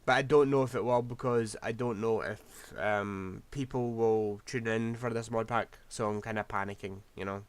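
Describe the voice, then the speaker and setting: A man's voice: fairly low pitch, fairly even intonation, normal speed, few pauses, clear, resonant tone. A man, rambling through casual gameplay commentary while losing his voice. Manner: rambling, scatterbrained, self-deprecating. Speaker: game streamer.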